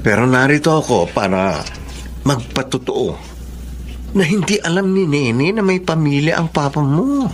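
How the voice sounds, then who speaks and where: Man playing a loyal friend, calm, reassuring, lively pitch, faint hiss, radio drama. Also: unhurried. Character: gentle loyal male friend Mickey. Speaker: supportive friend. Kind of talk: Tagalog radio drama acting.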